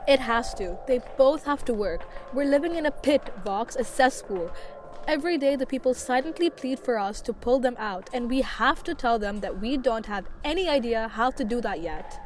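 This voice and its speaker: A woman's voice: fairly high pitch, lively intonation, normal speed, a little hiss or hum, lightly acted. A woman acting the part of a nervous but determined, idealistic young scientist in an audio drama. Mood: fervent.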